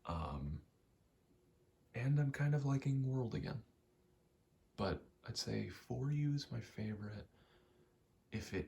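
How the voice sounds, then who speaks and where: A soft-spoken man giving a hesitant, self-deprecating ASMR ramble. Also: fairly low pitch, gravelly, a little hiss or hum. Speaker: video game ASMR creator.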